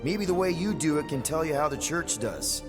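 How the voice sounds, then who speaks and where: An actor playing an exasperated, flippant young leader of a ragtag spaceship crew in a video game. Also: male voice, on the high side, expressive, moderate pace, loud music behind the voice, lightly acted. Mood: skeptical. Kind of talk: video game cutscene acting.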